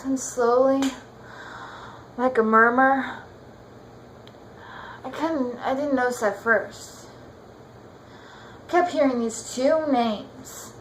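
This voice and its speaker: Girl doing a dumped fiancee monologue, high voice, slow dramatic pauses, wistful n bitter, faint noise. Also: steady tone. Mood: hurt. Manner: halting, dramatic pauses, repeating words for weight. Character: jilted young bride-to-be, wistful, wounded and bitter. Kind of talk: amateur dramatic stage monologue.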